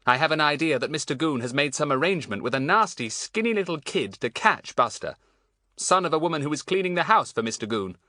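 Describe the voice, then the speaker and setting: A man's voice: a little high, lively intonation, fairly quick, pushed and bright, no echo, clean studio sound, voice pushed up. A man voicing a clever, boastful schoolboy in a children's audiobook, chatting with self-satisfied relish. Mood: confiding.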